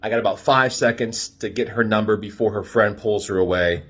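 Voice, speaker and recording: Husky young American guy telling stories. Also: lowish voice, brisk. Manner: animated retelling, acting out quoted dialogue. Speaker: storyteller giving dating advice. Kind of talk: casual storytelling with profanity.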